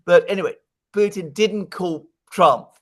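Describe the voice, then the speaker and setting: A man's voice: high-pitched, a little slow, fairly bright tone. A man giving conversational political analysis as a guest commentator, speaking emphatically and repeating words for stress.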